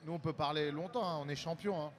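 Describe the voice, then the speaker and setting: A man's voice: on the high side, quick pace. A man, a music artist guest, chatting casually and bantering, repeating himself as he jokes. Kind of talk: casual interview chat. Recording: outdoor noise behind the voice.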